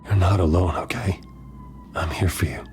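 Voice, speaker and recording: A man playing a brooding, earnest, injured billionaire vigilante in a video game, speaking with subdued, gruff sincerity. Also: deep voice, normal speed, noisy background, close to an everyday voice. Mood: subdued, sincere. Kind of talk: naturalistic video game acting.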